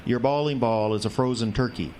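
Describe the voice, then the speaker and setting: A man playing a grumpy, folksy older small-town man in an audio drama, gruffly dismissive. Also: mid-range voice, brisk, darkish tone, slight background noise, close to an everyday voice.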